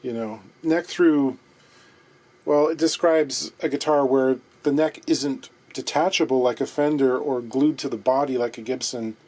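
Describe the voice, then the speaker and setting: A man's voice: mid-range voice, steady tone, a little slow, darkish tone. A man hosting his guitar repair vlog from his workshop, talking in a wry, chatty, digressive way. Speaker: guitar repair luthier and channel host.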